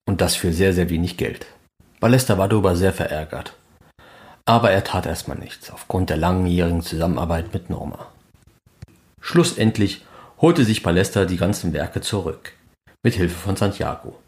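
Male podcast narrator, low register, scripted documentary read. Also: darkish tone. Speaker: fan podcast host and narrator.

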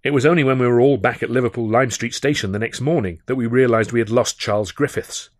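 A man reading a first-person audiobook in a measured, expressive and wry storytelling manner, close on the microphone. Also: mid-range voice, no echo. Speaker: author reading his own novel.

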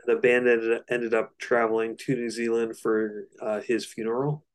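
A man's voice: medium pitch, level tone, normal speed. A man co-hosting a casual album discussion, explaining things in a loose, slightly hesitant way. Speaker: podcast co-host.

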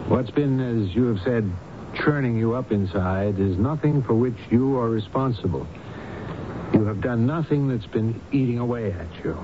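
An actor playing a calm, authoritative doctor and hypnotist in a radio drama, speaking in a measured, reassuring, professional way. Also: male voice, natural voice. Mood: reassuring, explanatory. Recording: dull, noisy vintage radio broadcast with rumble.

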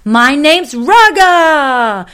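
A woman voicing a tiny, excitable female lion creature in a storybook, squealing and bouncy. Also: sits high, a little slow, voice pushed up. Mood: excited.

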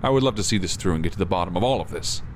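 A man's voice: deep voice, lively intonation, rapid-fire. A man playing an easygoing, skeptical but supportive police officer in conversational audio drama dialogue. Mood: calm, curious. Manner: wry, reassuring, conversational. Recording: clear car and road noise. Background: moving car ambience.

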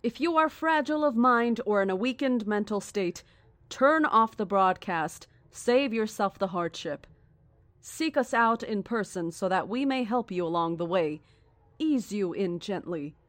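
Give me an actress as a condescending female cult leader in a horror audio drama, grandiose and deliberate, with a mid-pitched voice in a dry, close space. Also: animated, dry recording, lightly acted. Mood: solemn warning. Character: serene, menacing female cult leader preaching cosmic doom. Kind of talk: horror audio drama acting, live radio address.

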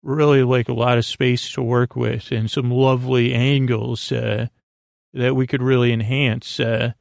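A man hosting a bedtime podcast in a rambling, improvised monologue, hesitant and halting with false starts, musing whimsically as he goes. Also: medium pitch, little pitch movement, vocal fry, a little mellow, no room sound, clean studio sound. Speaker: podcast host.

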